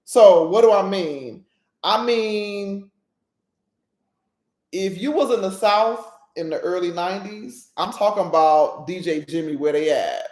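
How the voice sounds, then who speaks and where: A woman's voice: on the low side, unhurried, a little mellow, roomy sound. A woman hosting a casual solo podcast, talking emphatically, often checking in with her listeners and laughing at her own jokes. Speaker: podcast host.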